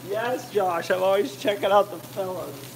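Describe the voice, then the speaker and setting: A man's voice: high-pitched, normal speed, a little hiss or hum, rough recording. A man rambling and joking with his live chat while cooking in his home kitchen. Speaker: cooking vlogger. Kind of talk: casual cooking livestream chat.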